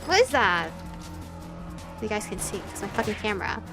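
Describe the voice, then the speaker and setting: A woman hosting a visual novel let's play, reading aloud and reacting in an expressive, sweary, giggly way. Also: mid-range voice, normal speed. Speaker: gaming video host. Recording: music playing behind the voice. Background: background pop music.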